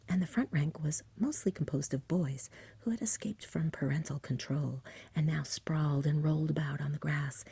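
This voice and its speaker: A woman reading a bedtime story for ASMR, soft and whispery, gentle and soothing, close to the microphone. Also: low-pitched. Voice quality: soft-spoken, whispery, breathy.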